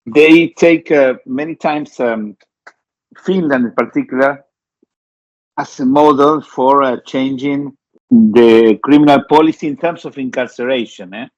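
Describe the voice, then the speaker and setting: Argentine-born male co-host, thoughtful questions, flat dry muffled call sound. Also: mid-range voice, measured pace, no room sound. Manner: thoughtful, asks with tag "eh?". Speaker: podcast co-host. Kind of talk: interview questions. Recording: dry, dull lo-fi call audio.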